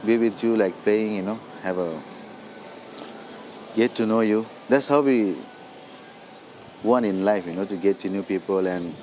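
A Nepali-speaking man with a Nepali accent, a singer-songwriter talking casually as a guest, halting and full of fillers like "you know" and "like". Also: on the low side, darkish tone, slight background noise, rough recording. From Darjeeling hills, India. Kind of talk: casual interview. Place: indoors.

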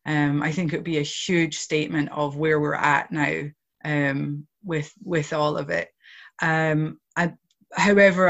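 A woman's voice: sits low, vocal fry. A woman from outside Ireland, a project founder talking earnestly in an interview, with lots of "you know" fillers. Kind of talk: reflective interview talk.

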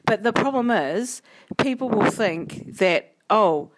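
A woman's voice: mid-range voice, animated, takes it slowly, no echo, rough recording. A woman with a New Zealand accent chatting casually as co-host, speaking frankly and with emphasis, half joking as she complains. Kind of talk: casual chat.

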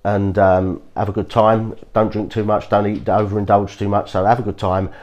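A man hosting a podcast, sounding croaky from a cold, giving earnest casual advice with frequent restarts and repeated reassurances to his listeners. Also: on the low side, flat delivery, normal speed, darkish tone, lo-fi sound. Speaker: podcast host. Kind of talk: casual motivational talk.

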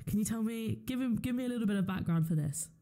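A woman's voice: on the high side, fast talker, dark tone. A woman hosting an interview in a casual, chatty style. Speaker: interviewer, host.

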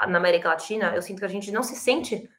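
A Brazilian woman in her thirties, speaking in a rapid, animated rush. She restarts often and piles up fillers. The room gives her voice a noticeable echo. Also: medium pitch. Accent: Brazilian Portuguese. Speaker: startup founder. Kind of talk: interview answer.

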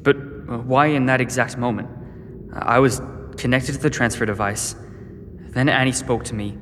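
An actor playing an earnest, anxious teenage boy in a sci-fi audio drama, speaking haltingly. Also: medium pitch, fairly even intonation, a bit bright, a little hiss or hum, lightly acted. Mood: bewildered. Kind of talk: audio drama acting and first-person lines. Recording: reverberant, with music underneath.

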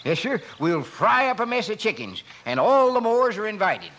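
A man's voice: high-pitched, very animated, moderate pace, a bit bright. A man playing a stubborn old rancher in a radio western, blustery and stammering, with a rustic American Western accent. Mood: hearty, chuckling. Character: stubborn, crusty old male rancher, feuding clan head. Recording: noisy vintage radio transcription.

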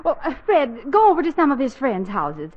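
High, animated, prodding 1940s radio wife. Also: female voice, normal speed, heavily acted. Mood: insistent. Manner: prodding, fretful. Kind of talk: 1940s radio comedy acting. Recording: muffled 1940s radio broadcast.

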